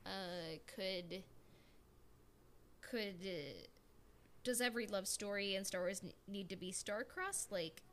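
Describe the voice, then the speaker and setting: A woman co-hosting a podcast in a reflective, casual way, haltingly searching for her words. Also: medium pitch, lively intonation, a bit bright, a little hiss or hum.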